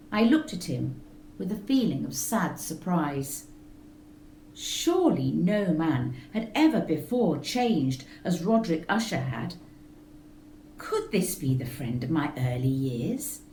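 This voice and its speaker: A woman's voice: on the low side, slight background noise. Woman reading a gothic tale aloud. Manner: slow, measured, expressive reading. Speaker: reader. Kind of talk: storybook narration. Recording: roomy echo with faint music.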